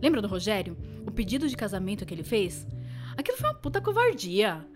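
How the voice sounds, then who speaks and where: A woman's voice: mid-range voice, huge pitch swings, quick pace, soft background music. An actress playing a blunt, loyal, no-nonsense inner voice, a Brazilian woman speaking in slangy conversational audio drama style. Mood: defensive.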